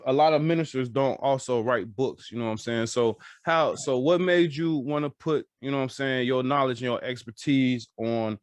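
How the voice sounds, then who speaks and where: A man's voice: medium pitch, no room sound. Close, dry-sounding male podcast host. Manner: hesitant, repeats phrases while forming questions. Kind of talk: casual interview.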